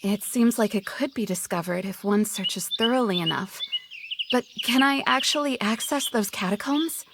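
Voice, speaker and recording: A woman's voice: medium pitch, normal speed, heavy background noise, close to an everyday voice. A woman playing a practical, direct young female adventurer in a fantasy game. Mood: curious, determined. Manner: direct, inquisitive. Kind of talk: fantasy game character dialogue.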